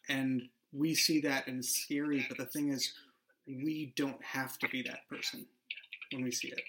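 A man speaking as a writer and podcast creator in a reflective, conversational discussion, earnest and a little halting as he works through his thoughts. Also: medium pitch, a bit bright, low-quality recording.

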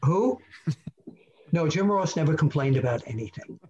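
A man's voice: a little high, animated, moderate pace, a little mellow, lo-fi sound. A man co-hosting a casual talk show, telling stories and laughing often. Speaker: co-host, former magazine editor. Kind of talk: casual podcast chat.

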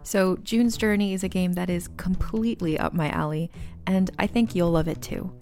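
A woman reading an advertisement in an upbeat, conversational manner. Her voice sits a little low, with faint music behind it. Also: normal speed, darkish tone. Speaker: ad narrator. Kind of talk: scripted ad read.

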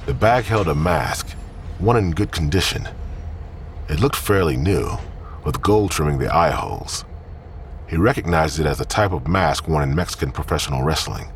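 Very deep-voiced male narrator. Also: a little hiss or hum. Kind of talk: scripted audio drama narration.

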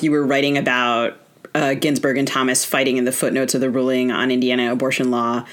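A woman hosting a podcast, asking interview questions conversationally with tentative, halting phrasing. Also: very deep voice.

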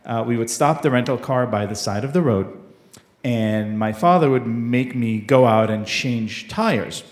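A man's voice: medium pitch, darkish tone. Wry male storyteller from Israel. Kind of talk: live personal storytelling on stage. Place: theater stage at a live event.

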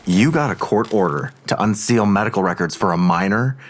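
An actor playing a sardonic, defiant police detective in an audio drama, answering curtly and dryly. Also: male voice, lowish voice, brisk, lightly acted. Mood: guarded, defiant. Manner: curt, dry, evasive.

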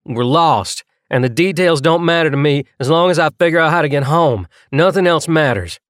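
A man's voice: mid-range voice, fairly quick, no echo, voice pushed up, lightly acted. A tense young small-town man in an audiobook story as a male narrator plays him. He is guarded and unpolished, stumbling a little over his words. The recording is close and dry. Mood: frustrated, desperate.

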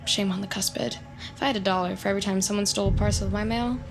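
A woman's voice: mid-range voice, fairly even intonation, racing, music in the background, natural voice. A woman playing a nervous, chatty, awkward neighbor in an audio drama, hesitant and rambling in an earnest way. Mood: nervously chatty.

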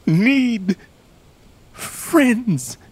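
An actor playing a grieving, deposed king, husky and slow, swinging between hushed lines and cried-out ones. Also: very high-pitched, expressive, full character voice. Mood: bitter. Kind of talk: stage audio drama acting.

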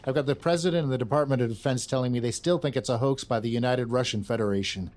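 An actor playing a curt, impatient male government boss with a husky voice. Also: mid-range voice, quick pace, a little mellow, lightly acted. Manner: curt, dismissive, swears. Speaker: senior federal official.

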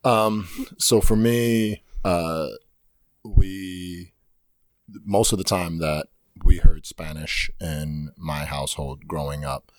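Man, deep low voice, dry close sound, thoughtful, pauses with fillers. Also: steady tone, dry recording. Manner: thoughtful, pausing often with fillers. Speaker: teacher at a detention center. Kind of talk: casual group conversation.

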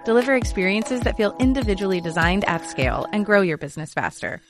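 A woman reading a scripted advertisement in a smooth, upbeat promotional manner. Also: medium pitch, quick pace, music in the background. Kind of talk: scripted ad read.

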